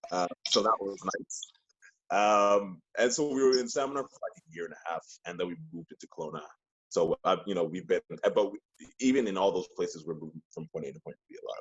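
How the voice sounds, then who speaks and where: A man born in Ghana and raised in the United States, a guest on a video call, telling his story in a relaxed, rambling way. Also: lowish voice, no echo. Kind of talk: casual video-call conversation. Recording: dull, dry video-call audio.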